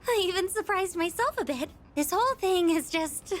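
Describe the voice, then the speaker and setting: Very high-pitched, shy schoolgirl. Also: expressive, a bit bright. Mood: bubbly, flustered. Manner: hesitant, halting, gushing. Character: shy, excitable, earnest high-school girl, timid but bold in love. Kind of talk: anime-style video game dubbing. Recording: music behind the voice.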